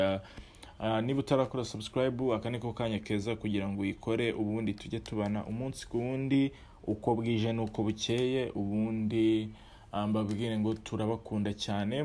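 Guy in his 30s talking to camera outside, lowish voice, slow careful delivery, lots of little pauses and restarts. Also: a little mellow. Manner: measured, with frequent hesitations and restarts. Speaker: online channel presenter. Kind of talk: commentary to camera.

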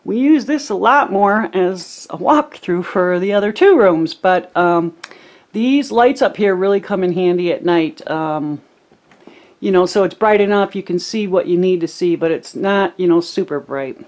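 A woman's voice: fairly low pitch, normal speed. Chatty woman touring her cabin. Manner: chatty, relaxed, many ums. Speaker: homesteading vlogger giving a home tour. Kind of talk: casual home tour narration. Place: small cabin indoors.

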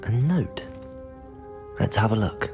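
Polite student wizard hero in a game, low voice that moves around a lot, music under. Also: male voice, expressive, slow pace. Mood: thoughtful. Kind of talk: video game dialogue acting.

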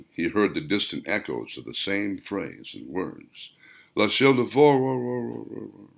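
A man reading a story aloud as dramatic, theatrical audiobook narration, with deliberate delivery. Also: sits low, creaky.

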